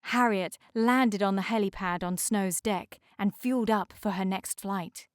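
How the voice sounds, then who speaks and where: Female storybook narrator: measured, articulate, mid-pitched, dry studio-like sound. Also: no room sound. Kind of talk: children's storybook read-aloud narration.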